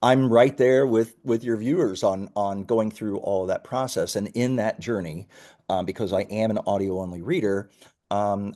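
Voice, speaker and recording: Male startup founder as interview guest, slightly low register, earnest conversational explainer. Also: dark tone. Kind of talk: conversational interview answers, explaining his business.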